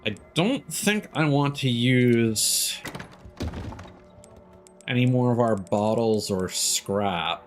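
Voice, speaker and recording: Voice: mid-range voice, animated, slow pace, pauses often. A game streamer giving casual, profane live commentary with sardonic, joking asides. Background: video game music and sounds.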